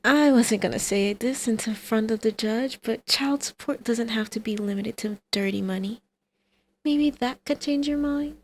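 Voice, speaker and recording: A woman's voice: on the high side, a little slow. A woman playing a brazen, scheming supervillain mother in an ASMR roleplay, teasing, smug and coaxing. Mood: coaxing, sly.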